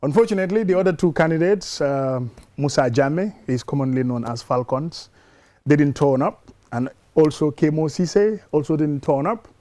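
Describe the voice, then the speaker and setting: A man with a Gambian accent talking through sports matters in an explanatory, measured, conversational way, as a journalist on a talk show. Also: a little high, lively intonation, warm tone, no echo.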